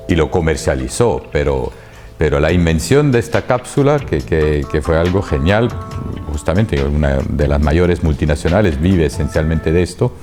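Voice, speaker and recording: Low, mellow-voiced man speaking haltingly. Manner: halting, many repeated words and pauses. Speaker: interviewee. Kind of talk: thoughtful conversational answer.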